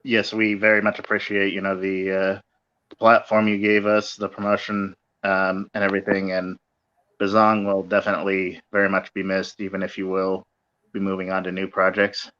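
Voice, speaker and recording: Low, very even-toned man, dull audio. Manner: halting, measured phrases. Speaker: podcast guest. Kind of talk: casual conversational talk.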